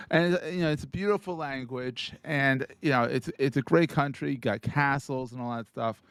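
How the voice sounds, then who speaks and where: A man's voice: medium pitch, steady tone, moderate pace, smooth, no echo. A man hosting a podcast, chatting casually and upbeat with his guest. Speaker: podcast host. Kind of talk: casual interview chat.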